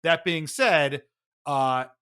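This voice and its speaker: A man with a fairly high, bright and forceful voice co-hosts a sports podcast. He delivers opinionated commentary emphatically, with a wry note of exasperation. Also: drawn-out words, clear, resonant tone. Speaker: podcast co-host.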